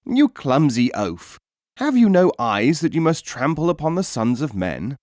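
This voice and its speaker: An indignant, righteous blind dervish from an old teaching tale, acted out by a man reading aloud. He scolds in declamatory phrases, pitched a little above a middling male voice, in a very dry recording. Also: very animated, a little slow, a little mellow, no echo. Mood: outraged.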